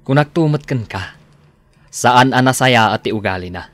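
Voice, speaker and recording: An actor plays a wary grown man of the family in a radio drama. His mid-pitched, Ilocano-accented voice is plain, level-headed and questioning. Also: expressive, normal speed, close to an everyday voice. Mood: reasoning. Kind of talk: Filipino radio drama acting.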